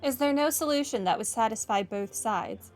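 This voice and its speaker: A woman's voice: a little high, expressive, moderate pace. A woman giving casual commentary on a game playthrough, wryly thinking aloud. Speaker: gaming streamer. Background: game music.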